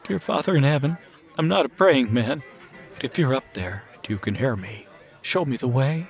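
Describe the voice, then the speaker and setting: A man's voice: mid-range voice, animated, moderate pace, close to an everyday voice. A man playing a desperate, short-tempered small-town family man in an old-time radio drama, exasperated and prone to stammer. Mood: broken, pleading. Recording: music behind the voice.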